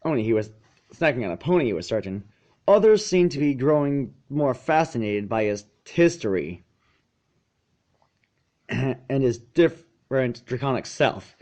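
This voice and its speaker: A man reading a story aloud as narrator, expressive, with dramatic, deliberate emphasis. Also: medium pitch. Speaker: fan fiction narrator.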